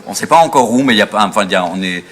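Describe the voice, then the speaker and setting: A man talks about his stage work in a casual, hesitant way with plenty of pauses. His voice sits somewhat low. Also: animated, fairly bright tone, a little hiss or hum. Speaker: theatre artist. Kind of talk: casual interview.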